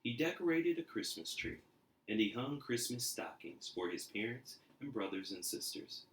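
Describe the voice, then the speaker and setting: A man's voice: lowish voice, big pitch swings, moderate pace. A man reading a storybook aloud expressively, in an echoey room. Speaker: storybook reader. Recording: roomy, echoey indoor sound.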